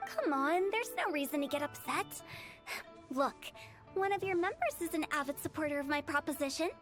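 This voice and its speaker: A woman voicing a mischievous young female funeral director who makes cheerful, macabre sales pitches in a game. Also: lively intonation, moderate pace, over music. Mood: teasing. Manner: teasing, salesy, darkly playful. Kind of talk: video game voice acting.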